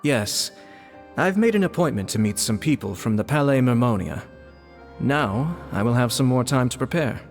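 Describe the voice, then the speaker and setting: A level, mid-pitched voice, a man playing a courteous noble older brother who speaks in calm, formal sentences. Faint game music runs quietly behind him. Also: moderate pace, lightly acted.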